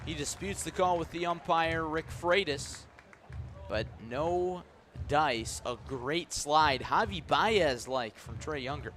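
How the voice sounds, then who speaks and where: A man doing live baseball play-by-play on the radio, mixing clipped pitch calls with conversational asides. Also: slow pace, fairly bright tone. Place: outdoor baseball stadium. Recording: clear outdoor stadium ambient noise. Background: outdoor ballpark ambience.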